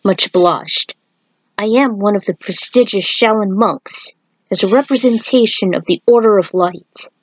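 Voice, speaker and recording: A woman's voice: mid-range voice, moderate pace, slightly rough, no room sound, lightly acted, poor audio. A woman plays a young male Shaolin monk in an amateur audio story. He is gallant and formal, with courtly, assuring phrasing. The sound is dry and close. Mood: coy, courteous.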